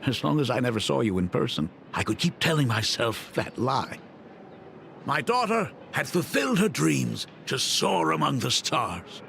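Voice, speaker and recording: An actor playing a gentle, regretful middle-aged father in a video game, speaking earnestly and haltingly. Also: medium pitch, big pitch swings, creaky, slight background noise. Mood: tender, reflective. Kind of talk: dramatic video game voice acting. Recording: music under the voice.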